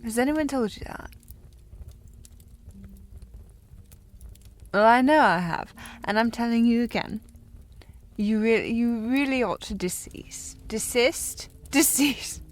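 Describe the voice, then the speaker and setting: A woman playing a tipsy, haughty young noblewoman in a historical audio drama, teasing and rambling in a halting way. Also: on the high side, close to an everyday voice. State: playing slightly drunk. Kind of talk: scripted audio roleplay, period drama.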